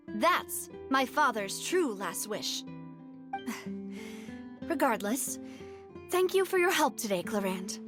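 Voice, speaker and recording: A woman playing a proud, guarded young noblewoman leader in a video game, haughty, pointed and formal in manner. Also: high voice. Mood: resolute.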